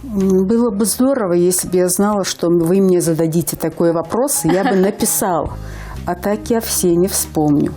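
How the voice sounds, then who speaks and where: A woman's voice: on the low side, moderate pace, few pauses, mellow, faint music behind. A woman in her fifties from Ingushetia, a language teacher speaking earnestly as a guest on a TV morning show, with hesitant fillers. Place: TV studio.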